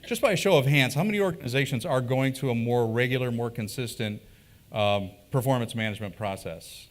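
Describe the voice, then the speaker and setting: A man co-presenting at a conference, answering audience questions in an animated way and often repeating words as he thinks. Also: medium pitch, fairly quick.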